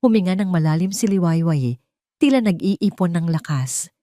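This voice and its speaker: A woman narrates a scripted emotional drama in a fairly low voice. Her delivery is solemn and deliberate, built on short weighted phrases. The recording is dry, without room sound. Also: lively intonation, warm tone, dry recording.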